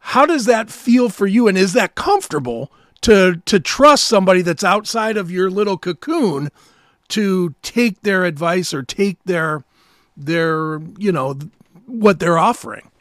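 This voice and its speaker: A man with a fairly high voice and an American accent hosts a conversational interview. He builds long, wordy questions. The sound is close and dry, with very little room on it. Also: lively intonation, a little slow, a bit bright, no room sound. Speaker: radio show host interviewing a band.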